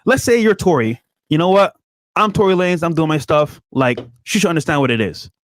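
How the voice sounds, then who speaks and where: A man of about thirty co-hosts a podcast in an animated, casual voice and slips into quoted lines as he tells his points. His pitch sits a bit high. The sound is dry and close to the mic. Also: brisk, no echo. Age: 30s. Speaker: podcast co-host.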